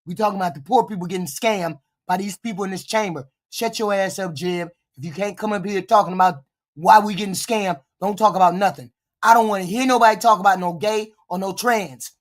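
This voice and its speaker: Profane streamer heckling, voice deepened by a cold. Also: brisk. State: voice deepened by a cold, short of breath. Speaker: political commentary streamer. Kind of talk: live reaction commentary.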